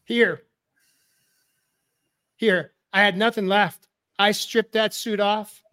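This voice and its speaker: Emphatic high-voiced man from New York. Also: steady tone, slow, sharp tone, clean tone. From New York area, USA. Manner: emphatic, preacherly, repeats key phrases. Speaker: host. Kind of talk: heartfelt personal sharing on a men's talk show.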